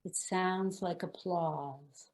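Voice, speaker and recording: A woman recites poetry in a slightly low voice. She takes it slowly, phrase after phrase. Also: fairly even intonation. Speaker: poet.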